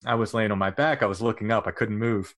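Man, middle-aged, deep-ish voice, dry sound; halting interview storyteller. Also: fairly bright tone, no echo. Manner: halting, many restarts and fillers, occasional laughs. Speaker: guest recounting experiences.

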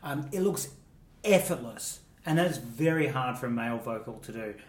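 A man's voice: medium pitch, expressive, normal speed, far from the mic. Chatty man, roomy and set back from the mic. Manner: chatty, laughs often, fond asides. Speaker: co-host. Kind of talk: casual chat reviewing song contest entries.

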